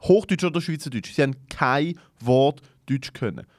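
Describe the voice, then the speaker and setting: A man's voice: medium pitch, animated, moderate pace, no echo, clean studio sound. A young man, co-hosting a podcast in casual chat, pushy and jumping between topics. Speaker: podcast co-host.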